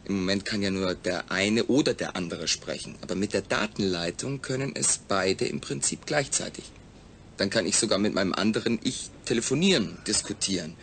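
Low-voiced male astronaut, audio drama, calm, reflective, measured, gravelly. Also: slight background noise. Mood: reasoning, cooperative. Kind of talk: German audio drama acting.

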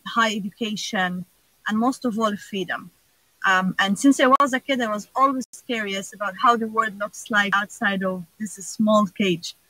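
Bright-voiced woman on a call. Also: mid-range voice, dry recording, rough recording. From Gaza, Palestine. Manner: earnest, many "uh" fillers. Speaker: panel guest. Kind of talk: personal talk on a video call. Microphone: video call.

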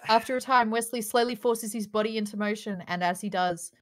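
A woman's voice: on the high side, a little fast. A woman reading scripted stage directions as narrator of a table read over a video call.

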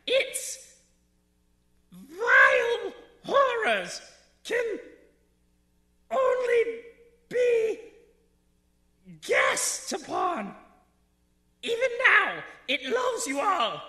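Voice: very high-pitched, huge pitch swings, extremely slow, pauses often, sharp tone, reverberant, heavily acted. An actor playing a melodramatic, menacing alien warrior chief in a radio drama, declaiming pompously. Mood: dire warning.

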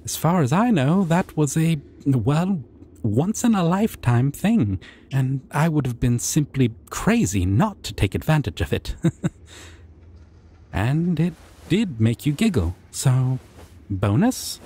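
A man voices a shy, gentle male dragon in a fantasy ASMR roleplay. The voice is mellow and unhurried, rambling in a soft, hesitant, dorky way, with lively swings in pitch. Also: slightly rough, exaggerated voice. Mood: bashful, playful.

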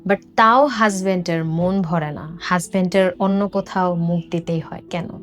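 A woman's voice: fairly low pitch, moderate pace. A woman with a Bangladeshi accent asking conversational questions as an interviewer, mixing in English words. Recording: music playing behind the voice. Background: background music.

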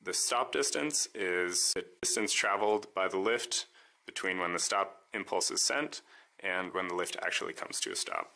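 Calm gravelly man explaining a device. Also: sits low, moderate pace. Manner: calm, methodical step-by-step explaining. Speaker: maker demonstrating his device. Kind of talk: voice-over product demo.

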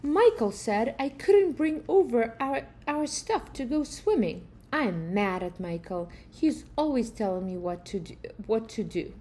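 A woman's voice: a little high, expressive, a little slow, hardly any pauses, dark tone, slight background noise. A woman voicing a small, indignant boy in a picture book, complaining and insistent.

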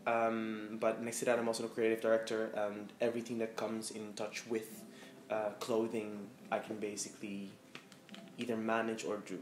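A man's voice: fairly low pitch, completely flat delivery, normal speed. A man in his thirties from the Netherlands, speaking as a guest before a small audience in a candid, reflective way with many fillers. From Amsterdam, Netherlands. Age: 30s. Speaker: guest, fashion stylist. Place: room with a live audience. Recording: roomy echo, faint noise.

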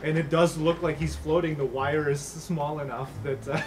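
A man's voice: on the high side, fairly even intonation, moderate pace, a little hiss or hum. A man running a tabletop game as game master, narrating casually and improvising as he goes.

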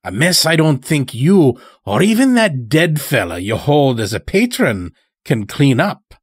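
A man performs a weary, sly old man from a dark audio story. His pitch is lifted and swings widely, and he rambles along in folksy, clipped grammar. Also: sing-song, unhurried, husky, no room sound, clean studio sound. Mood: ominous, knowing. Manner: folksy, rambling, dropped words.

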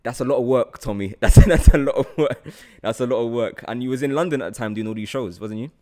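A man in his twenties hosting a casual interview, talking into a close microphone on a boom arm. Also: fast, dark tone. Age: 20s. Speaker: podcast host, actor. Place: small indoor room with desk. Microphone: close studio mic on boom arm.

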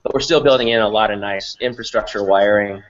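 A man's voice: fairly low pitch, steady tone, brisk. A middle-aged man explaining things as an interview guest on a video call from an office. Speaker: company president, interview guest. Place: small office room, indoors. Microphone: computer mic on a video call. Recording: dull, lo-fi video call audio.

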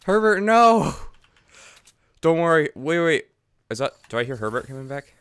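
A young man streaming a game from his room, chatting excitedly and repeating himself. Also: fairly high pitch, normal speed, smooth. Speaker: gaming streamer. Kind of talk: casual gameplay chat. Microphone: desk mic, wearing headset.

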